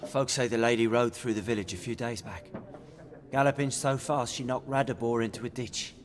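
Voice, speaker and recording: An actor playing a surly village tavern drinker in a video game, curt and rustic in manner. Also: male voice, medium pitch, steady tone, a little fast, slight background noise, natural voice. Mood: flat, compliant. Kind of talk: video game cinematic acting. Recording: loud crowd chatter behind the voice.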